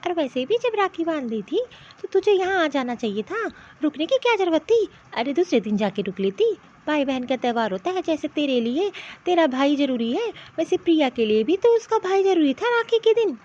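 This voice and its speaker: A woman's voice: high voice, very animated, moderate pace, darkish tone. A woman voicing a protective middle-aged mother-in-law in a cartoon family story, reproachful and insistent, piling up pointed questions. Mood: indignant, scolding. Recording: dull, lo-fi cartoon voice track.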